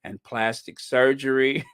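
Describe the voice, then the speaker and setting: A man hosting a casual livestream, chatting in a playful, theatrical, joking way with halting, drawn-out phrasing. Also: mid-range voice, fairly bright tone, smooth, dry recording. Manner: playful, joking, mock-indignant theatrical delivery. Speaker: livestream host.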